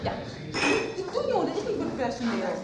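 A woman's voice: very high-pitched, huge pitch swings, a little fast, very noisy, full character voice. An actress playing a bossy, agitated woman with a curt manner in a radio soap. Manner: abrupt, curt. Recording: very echoey room, loud music.

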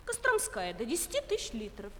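An actress playing a prim know-it-all waitress who explains everything like a textbook, slowly and condescendingly, in a stage comedy sketch. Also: female voice, a little high, huge pitch swings. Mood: lecturing. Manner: pedantic, slow lecturing, condescending checks. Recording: live stage, hall reverb, faint noise.

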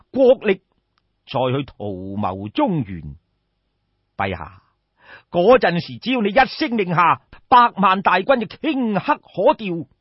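A male storyteller plays a silver-tongued royal envoy, confident and grand, pressing his case with rhetorical questions. The delivery is slow and husky, with big pitch swings, in an echoey room. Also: full character voice. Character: eloquent, confident male envoy persuading a king. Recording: echoey room, slightly dull sound.

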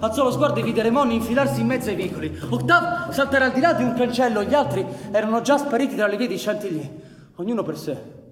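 A man's voice: very high-pitched, lively intonation, fast, noisy background. A man acting as narrator in a radio theatre play, delivering dramatic, declamatory narration. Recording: echoey reverberant hall, live music over voice. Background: live music.

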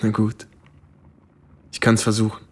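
An actor playing a bitter, haunted war reporter in a radio drama, brooding and halting, with a husky voice. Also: male voice, lowish voice, monotone, natural voice. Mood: resigned. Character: bitter, haunted American war reporter recalling a lost battle. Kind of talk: modern German radio drama dialogue.

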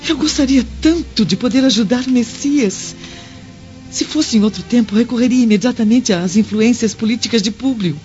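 Anxious, gentle noblewoman, played by an actress. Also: a little high, normal speed, natural voice. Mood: distressed, helpless. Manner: gentle, earnest. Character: anxious, compassionate noble wife of a senator. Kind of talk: radio drama acting. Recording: dull radio drama, faint music behind.

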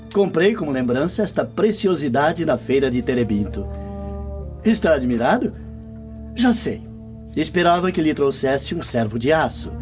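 An actor playing a worldly, affable Roman gentleman in a radio drama, courteous and theatrical as a confidant. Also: fairly high pitch. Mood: playful, proud. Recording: dull audio over music bed.

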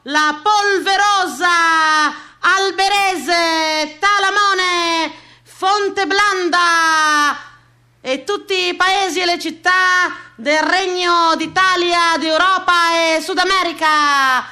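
A woman playing a bombastic fairground sideshow barker in a theatrical radio piece, crying out drawn-out, declamatory phrases. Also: extremely high pitch, fairly even intonation, sharp tone, heavily acted. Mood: bombastic hawking.

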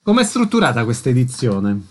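A man's voice: medium pitch, sing-song, fairly quick, slight background noise. A man hosting a radio interview, giving scripted introductions and putting questions to guests.

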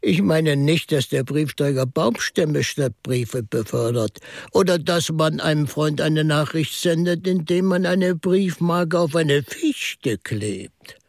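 An actor playing a shrewd, whimsical priest-detective in a German radio drama, with a deliberate, musing delivery and a gravelly, husky voice. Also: male voice, a little high, expressive, no echo, exaggerated voice. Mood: whimsical, explaining.

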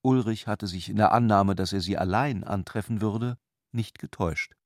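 A man's voice: lowish voice, normal speed, no room sound, studio quality. A man narrating a literary radio drama as a scripted storyteller.

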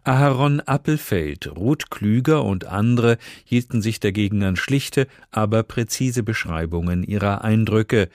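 A man's voice: on the low side, a little slow, hardly any pauses, darkish tone, no room sound, clean studio sound. A man presenting a scripted cultural introduction on the radio.

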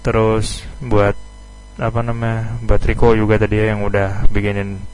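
A man's voice: on the low side, monotone, normal speed, a little mellow, a little hiss or hum, poor audio. A man hosting a casual radio interview. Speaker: radio host.

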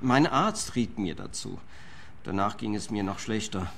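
An actor playing a fragile, fretful client in a German-language radio play, brittle, fussy and self-pitying. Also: male voice, on the low side, expressive, unhurried, vocal fry, slight background noise. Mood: peevish, self-pitying. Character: fragile, fretful male client, pill-dependent house owner.

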